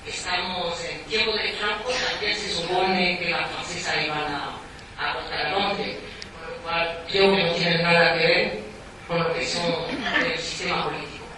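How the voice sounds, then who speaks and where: A woman with a French accent speaking as a guest in a studio debate, measured with occasional restarts. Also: fairly low pitch, fairly even intonation. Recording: echoey room from far off with noise.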